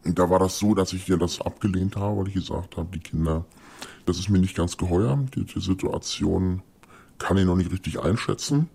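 Man, early 30s, very low and gravelly, voice masked by distortion, measured and self-questioning. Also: dark tone. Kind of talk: documentary interview.

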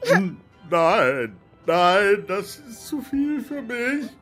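An actor playing a blustering, sentimental puppet master in a children's radio play, theatrical and swinging between menace and tears. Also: male voice, high voice, sing-song, drawn-out words, a bit bright, husky, soft background music, exaggerated voice. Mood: sentimental, moved.

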